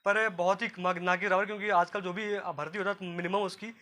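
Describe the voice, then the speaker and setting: Fast, high-pitched man explaining. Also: steady tone, pushed and bright. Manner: repetitive step-by-step explaining, addressing listeners as friends. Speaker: presenter of an exam and career guidance video. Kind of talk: informal explainer addressed to viewers.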